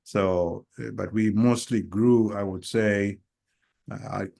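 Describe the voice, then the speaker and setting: A man with a somewhat low voice co-hosts a relaxed podcast conversation. He grew up in Kenya. He speaks thoughtfully and haltingly, often pausing with fillers as he reflects. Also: creaky. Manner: hesitant, reflective, many pauses and fillers. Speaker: podcast co-host.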